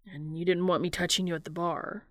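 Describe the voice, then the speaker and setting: A woman's voice: on the low side, level tone, a little fast, a bit bright, no room sound, studio quality, natural voice. A woman reading the part of a stunned, yearning man in a fan fiction story, with a blank, hesitant manner.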